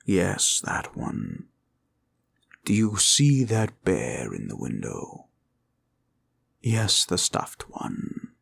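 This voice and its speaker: A man voicing a gentle, protective dragon in an intimate roleplay, speaking formally and solemnly, with a gravelly, sometimes breathy voice. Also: deep voice, wildly swooping pitch, slight background noise, heavily acted. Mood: tender, protective. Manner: slow, solemn, archaic formal phrasing. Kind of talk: intimate comfort roleplay audio.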